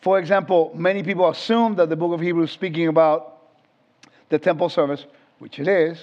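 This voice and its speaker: A man's voice: fairly high pitch, fairly even intonation, fairly quick, darkish tone. A man in his fifties from Puerto Rico, giving an informal Bible lesson and often quizzing his class. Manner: conversational, asks the audience questions. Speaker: Bible teacher. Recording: dull lo-fi sound.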